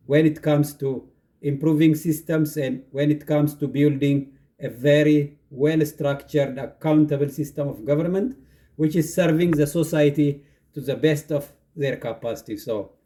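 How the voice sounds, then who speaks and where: A man's voice: mid-range voice, mellow. A man from Ethiopia with an Ethiopian accent, a university instructor earnestly explaining his charity's work in a casual monologue. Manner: earnest, builds long lists of points.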